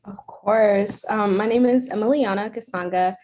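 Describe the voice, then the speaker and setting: A woman's voice: mid-range voice, normal speed, a little mellow. Young woman from Tanzania, student. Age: young adult. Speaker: student resident assistant. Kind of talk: self-introduction.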